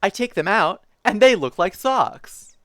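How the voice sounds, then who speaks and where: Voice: medium pitch, expressive, unhurried, no room sound, clean studio sound, pitched above the performer's own voice, lightly acted. A streamer reading a bedtime storybook aloud, giving the spotted creature a slightly dopey voice and speaking his lines in a singsong, rhyming way. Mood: playful.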